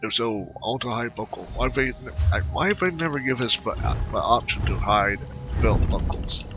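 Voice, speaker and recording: A man's voice: mid-range voice, lively intonation, normal speed, music in the background. A man giving casual, rambling commentary as he plays a video game, often repeating himself.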